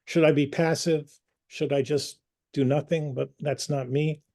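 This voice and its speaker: A man hosting an online community meeting, speaking earnestly and personally, pausing with fillers and restarts. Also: a little high, steady tone, darkish tone. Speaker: community group host.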